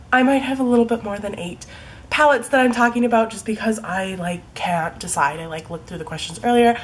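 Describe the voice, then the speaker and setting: A woman's voice: medium pitch, rough recording. A young woman with a stuffy, congested voice from a cold, chatting casually about her favorite products, full of likes and ums. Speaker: beauty vlogger. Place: home room in fading daylight.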